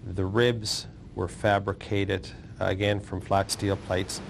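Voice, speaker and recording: A man's voice: sits low, level tone, slow, creaky, background noise. A man in an interview carefully describing steel fabrication, with some fillers.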